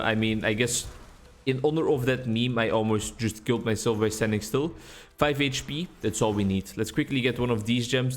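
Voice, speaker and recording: A Dutch man, a native Dutch speaker, giving rambling, chatty gameplay commentary in English on a desk mic. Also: medium pitch. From Netherlands. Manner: rambling, chatty, self-deprecating. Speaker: gaming YouTuber.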